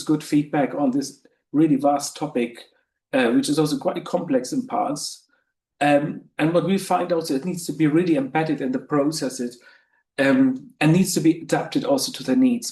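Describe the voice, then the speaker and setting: A man hosting a webinar, presenting with many pauses and restarts, his voice going hoarse. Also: a little high, darkish tone, reverberant. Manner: halting, many fillers and restarts. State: losing his voice. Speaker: webinar host.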